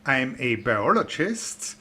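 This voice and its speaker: A man from Austria with an Austrian German accent, an author and biologist introducing his book in a measured, explanatory way with dry humor. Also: mid-range voice, very animated, sharp tone.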